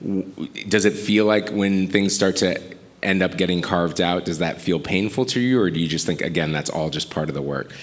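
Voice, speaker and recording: A man's voice: sits low, moderate pace. A man interviewing a novelist onstage, encouraging and full of short affirmations. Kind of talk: live onstage conversation. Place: festival stage. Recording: long hall reverb.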